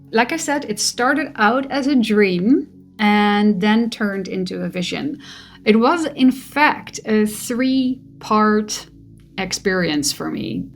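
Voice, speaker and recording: Woman, slow and gentle, reflective spiritual vlog monologue over faint music. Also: mid-range voice. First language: Dutch. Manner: gentle, musing, with soft laughs. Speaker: spiritual vlogger.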